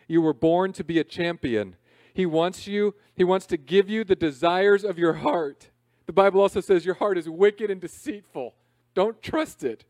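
A man in his thirties preaching a sermon in a conversational, self-deprecating way, swinging between loud emphasis and quiet asides. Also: a little high, no echo. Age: 30s. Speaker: pastor.

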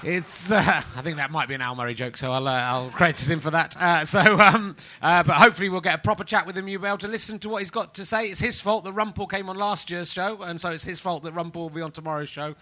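A man's voice: high-pitched, quick pace, hardly any pauses, pushed and bright. A man, hosting a live comedy podcast in front of an audience, teasing and laughing often. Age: 40s. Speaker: podcast host.

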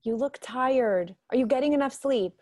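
A woman's voice: high voice, moderate pace, dark tone, no echo, studio quality. A woman acting a caring, fussing mother of a grown daughter in a radio drama. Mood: fussing concern.

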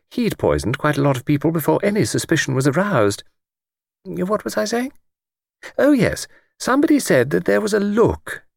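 A man voicing a gentle, chatty old English lady in an audiobook, with a thin, quiet voice and a hesitant, rambling, genteel manner. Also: on the high side, vocal fry, no echo, clean studio sound, pitched above the performer's own voice, exaggerated voice. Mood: troubled, confiding. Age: older. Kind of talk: audiobook character reading.

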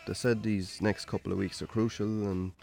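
Low-voiced, understated Irish farmer, played by a man. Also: little pitch movement, moderate pace, gravelly, warm tone. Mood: worried, resigned. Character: worn-down lonely Irish farmer, wry and evasive. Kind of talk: naturalistic radio drama acting.

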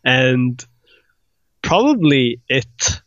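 A man's voice: mid-range voice, big pitch swings, extremely slow, fairly bright tone, dry recording. A man from Sweden chatting casually as an interview guest, on a slightly lo-fi recording. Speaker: guest, concept artist.

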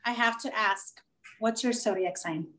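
A woman's voice: a little high, unhurried. A woman in her twenties hosting an interview, gushing and enthusiastic toward her guest. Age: 20s. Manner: gushing, enthusiastic, warm-hearted praise. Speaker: interviewer host. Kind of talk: casual interview.

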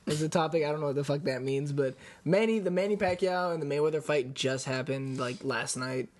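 A young man hosts a loose podcast among friends. He jokes, swears freely and laughs a lot, in a relaxed, offhand way of talking. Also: on the high side, brisk. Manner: joking, swearing, laughs often. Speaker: podcast host. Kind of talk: casual chat.

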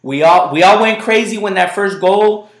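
A man's voice: high-pitched, normal speed, fairly bright tone. A man hosting a casual podcast chat, rambling loosely and repeating his words, whose first language was Spanish. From United States. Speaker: podcast host. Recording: echoey room.

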